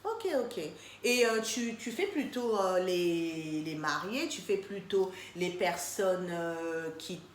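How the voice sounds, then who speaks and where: A woman's voice: fairly low pitch, animated, slow, hardly any pauses. A woman hosting a casual interview show, chatting and prompting her guest with short acknowledgements. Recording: echoey room with faint background noise.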